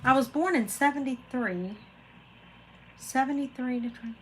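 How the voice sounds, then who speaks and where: A woman's voice: high-pitched, moderate pace, a little hiss or hum, low-quality recording. A woman, hosting a casual live chat and rambling to viewers by name. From Florida, USA. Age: 40s. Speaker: craft channel host reading live chat.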